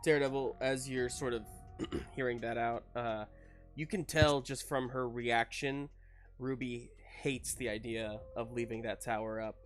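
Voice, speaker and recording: A man's voice: mid-range voice, steady tone, measured pace, faint music behind. A man working as game master, improvising narration and talking through a tabletop game.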